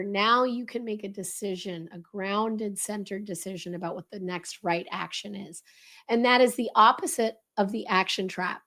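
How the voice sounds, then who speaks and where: A woman with a hoarse, crackly voice, briskly explaining a leadership framework as a podcast guest. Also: mid-range voice. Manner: brisk, animated, coaching tone. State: hoarse, voice lost.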